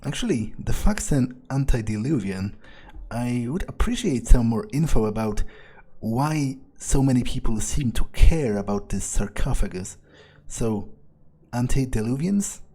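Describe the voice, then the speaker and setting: A man's voice: mid-range voice, animated, a little slow, dark tone, slightly rough. A man roleplaying an irritable, cynical vampire in a video game, muttering bluntly and thinking aloud. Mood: dismissive. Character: irritable, foul-mouthed, cynical male vampire errand-runner.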